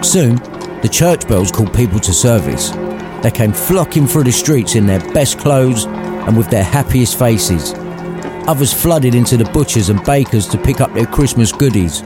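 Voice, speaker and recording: A man's voice: mid-range voice, expressive, moderate pace. A man reading an irreverent storybook narration, cheeky and conversational. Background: background music.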